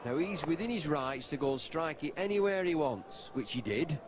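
A man's voice: fairly high pitch, lively intonation, normal speed. A man commentating on cricket for television, patiently explaining the rules. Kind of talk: live TV sports commentary. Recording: noisy broadcast.

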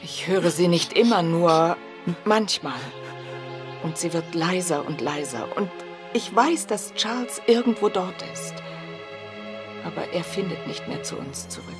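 A woman's voice: fairly low pitch, unhurried, music in the background, close to an everyday voice. An actress playing a stern, grieving mother in a period mystery radio play, with a dramatic, intense delivery. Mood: anguished longing. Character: Glenda, grieving, stern mother longing for her vanished son.

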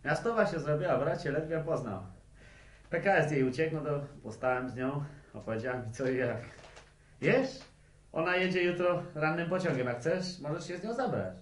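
A man plays a boastful young villager in a radio drama. His colloquial talk is breezy and animated, and the recording rings with room echo. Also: on the high side, moderate pace, lightly acted. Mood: breezy, cajoling.

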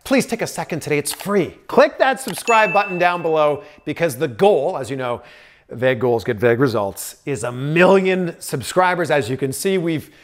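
A man's voice: fairly high pitch, slight background noise. A man in his thirties from Canada, hosting a casual interview from his home studio. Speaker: interview host. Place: small home studio indoors. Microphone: desk microphone.

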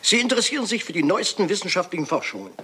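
A man's voice: high voice, very animated, racing, pushed and bright, full character voice. A man playing a shrewd, courteous detective with a dryly ironic, probing manner in a German radio crime drama. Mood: politely probing. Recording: hissy, noisy old radio play recording.

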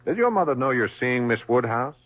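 An actor playing a shrewd police lieutenant in a 1970s radio drama, with a probing, insinuating manner. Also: male voice, medium pitch, big pitch swings, brisk, dull audio. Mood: needling.

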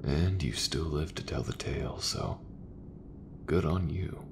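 A man playing an easygoing, folksy sci-fi mechanic in a soft, breathy, reassuring ASMR roleplay voice. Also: extremely low pitch, background noise, exaggerated voice. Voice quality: gravelly, breathy, half-whispered. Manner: slow, unhurried, reassuring, colloquial. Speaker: voice actor.

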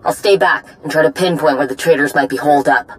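A woman's voice: deep voice, quick pace, close to an everyday voice. A woman playing a stern, vengeful warrior commander in a video game, speaking in terse, weighted lines. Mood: grim, businesslike. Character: grim, vengeful female Mandalorian warrior commander. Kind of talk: video game dramatic acting.

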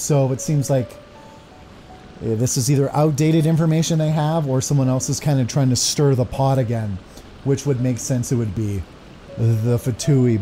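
A man's voice: mid-range voice, dark tone. A man around his thirties giving casual, musing commentary as he plays a video game. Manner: laid-back, musing. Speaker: gaming host. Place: indoor room at home. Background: game music.

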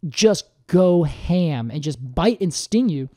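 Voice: medium pitch, lively intonation, slow, warm tone, no room sound, studio quality. A podcast host chatting casually and explaining things in an informal, enthusiastic way.

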